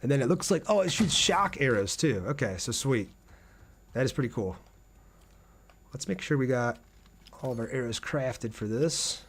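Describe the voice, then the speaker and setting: A man's voice: mid-range voice, expressive, moderate pace, pauses often, husky. A man giving casual gameplay commentary, thinking aloud in an informal way as he plays. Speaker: gaming video host. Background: game music and sounds.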